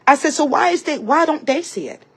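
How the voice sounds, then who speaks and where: A woman's voice: high-pitched, big pitch swings, brisk, a bit bright. A woman giving a heated, profane personal rant as a vlogger, emphatic and repeating herself as she tells her story. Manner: emphatic and repetitive, acting out others' remarks.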